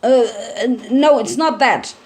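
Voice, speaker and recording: A woman's voice: fairly high pitch, a little slow, darkish tone, lightly acted. A woman voicing a slightly frightened yet indifferent government clerk pony, hesitant and bureaucratic, in a story reading. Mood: nervous, evasive.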